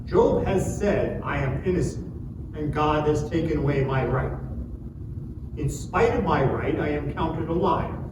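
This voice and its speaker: A man's voice: on the high side, measured pace, recorded from a distance, lightly acted. A man acting an indignant preacher in an echoing hall. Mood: indignant, solemn. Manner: declamatory, rhetorical questioning. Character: angry, righteous man rebuking the sufferer Job. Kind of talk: dramatic scripture reading. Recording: echoey hall from afar, noisy.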